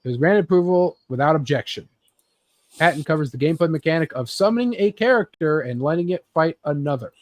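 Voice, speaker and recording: A man's voice: on the high side, animated, fast, dry recording. Rambling man hosting casual geek chat, dry sound. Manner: rambling, acts out imagined scenes with sound effects. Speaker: host leading a group chat about games and films.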